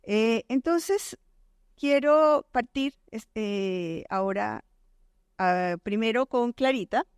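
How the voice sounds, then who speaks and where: A middle-aged woman from Colombia with a Colombian accent softened by decades in Chile, moderating a conference panel on stage with frequent pauses and restarts. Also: on the high side, smooth, dry recording, clean studio sound. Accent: Colombian, softened by years in Chile. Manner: hesitant, many fillers and restarts.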